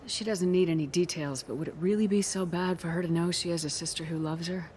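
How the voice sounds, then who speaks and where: Casting: actress as direct, supportive military commander for a game, fast delivery, background noise. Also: female voice, on the low side, steady tone, a little mellow, natural voice. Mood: gently encouraging. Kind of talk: video game acting.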